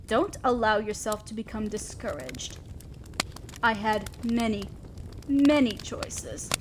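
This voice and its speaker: Woman voicing a high-pitched, imperious female demon lord. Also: close to an everyday voice. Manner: imperious, theatrical, mock-scolding. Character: commanding female demon lord, teasing yet protective boss. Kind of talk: scripted audio roleplay addressed to the listener. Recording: faint ambient noise, crackling fire.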